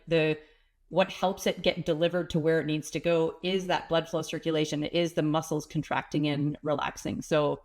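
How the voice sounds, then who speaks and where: Woman with a stuffy cold, explaining. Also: low voice. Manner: practical, explanatory, plain-spoken about the body. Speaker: pelvic health expert guest. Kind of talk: conversational interview explanation.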